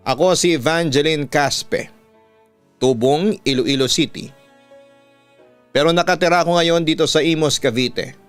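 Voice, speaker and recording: A man with a Filipino accent reads a listener's horror story letter as a radio storyteller, in a measured way with deliberate pauses. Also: on the high side, fairly bright tone, clear, resonant tone. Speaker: radio announcer and host reading listener letters. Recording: background music under the voice. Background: instrumental music.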